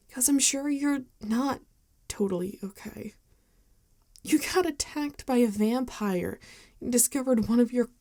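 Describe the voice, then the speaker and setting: A woman acts out a gentle vampire friend in an ASMR roleplay. Her voice is mellow with a creaky edge, and she talks slowly and hesitantly, caring and apologetic. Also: medium pitch, dry recording, clean studio sound. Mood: remorseful, hushed. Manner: hesitant, gentle, halting with soft sighs. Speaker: ASMR voice actor. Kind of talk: ASMR roleplay acting, intimate and conversational.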